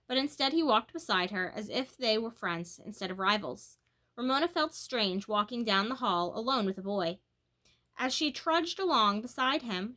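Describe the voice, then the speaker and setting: A woman reads a children's storybook aloud. Her voice is bright and sits a little high. She delivers the narration expressively, with animated emphasis. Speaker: storybook reader.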